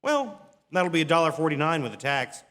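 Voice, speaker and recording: A man's voice: on the high side, sing-song, fairly quick, fairly bright tone. A man acting as an easygoing rural gas station attendant in a radio drama, chatty and colloquial. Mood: amused, folksy. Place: stage of a theatre. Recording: echoey theatre hall, faint noise.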